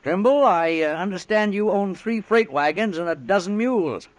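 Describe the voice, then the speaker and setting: A man playing a smooth, scheming American businessman villain in an old-time radio western, smug and falsely courteous. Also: high-pitched, lively intonation, moderate pace, no echo. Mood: confident, pushy.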